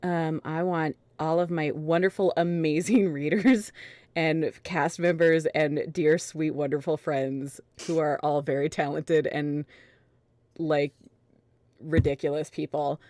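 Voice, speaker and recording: A woman's voice: low voice, steady tone, measured pace, a little mellow, no room sound. A woman hosting a casual livestream chat with friends, speaking in an effusive, gushing way. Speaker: host of a live group reading stream.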